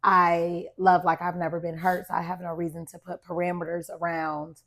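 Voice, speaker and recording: A young adult woman with a raspy voice, co-hosting a podcast in casual, blunt chat. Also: fairly low pitch, little pitch movement, moderate pace, a bit bright. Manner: blunt, laughing, unapologetic. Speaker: podcast co-host.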